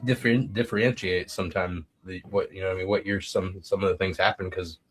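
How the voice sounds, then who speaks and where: Low voiced guy on a podcast, just chatting, kinda halting and rewording stuff. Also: fairly even intonation, crowd noise. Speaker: podcast panelist. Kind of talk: casual chat.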